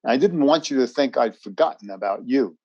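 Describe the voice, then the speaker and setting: A man's voice: mid-range voice, expressive, fairly quick, a little mellow, no echo, highs cut off. A man acting as a formal, skeptical, insistent military major in a radio drama. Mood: polite, probing. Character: officious, skeptical military major pressing for answers.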